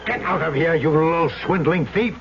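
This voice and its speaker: An actor playing a blunt, hot-tempered South Seas trader in a 1970s radio drama. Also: male voice, fairly high pitch, moderate pace, background noise, close to an everyday voice. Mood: furious. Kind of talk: 1970s radio drama acting with first-person narration. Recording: dull vintage radio recording with music.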